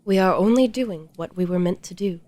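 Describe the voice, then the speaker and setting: A woman playing a serene, literal-minded clockwork being in a fantasy audio drama, speaking in a measured, formal, matter-of-fact way. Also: fairly low pitch, a little mellow, no echo, natural voice.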